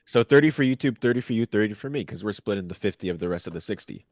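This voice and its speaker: A man's voice: on the low side, animated, very fast, darkish tone, dry recording, clean studio sound. A man appearing as a guest co-host, bantering casually in a playful, slangy way.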